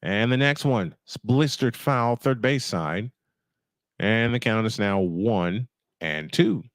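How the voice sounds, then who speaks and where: Animated man calling a ballgame. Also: lowish voice, slow pace, no echo, clean studio sound. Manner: rambling, self-interrupting, thinks aloud. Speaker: sports play-by-play streamer. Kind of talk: live baseball commentary mixed with casual chat.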